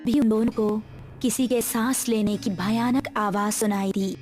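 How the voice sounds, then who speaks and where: A woman's voice: medium pitch, fairly even intonation, measured pace, over music. A woman reading a scripted horror story as its narrator.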